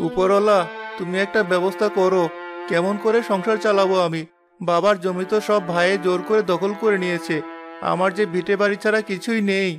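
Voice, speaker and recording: A man's voice: high-pitched, steady tone, a little fast, natural voice. A man voicing a humble, poor village father in a cartoon, plaintive and pleading, with music behind. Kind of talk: moral-story cartoon acting.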